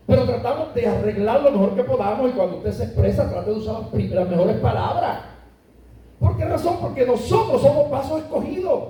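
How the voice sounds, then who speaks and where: A man with a very high, mellow voice preaches to his congregation, drawing out key words and rising in sudden emphatic bursts. He is picked up from a distance in a church, with a lot of echo around him. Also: slight background noise. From Puerto Rico. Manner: preaching with emphatic rises and slowed, stressed words. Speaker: pastor. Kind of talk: sermon. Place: church sanctuary. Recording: echoey church heard from a distance.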